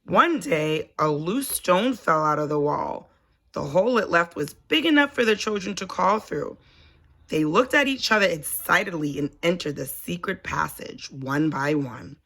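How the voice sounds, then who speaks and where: A woman reads a children's story aloud at a very slow, deliberate pace. Her voice is bright and forward, sitting a little low. She draws out phrases expressively as a storyteller would. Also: lively intonation. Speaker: storybook reader, teacher.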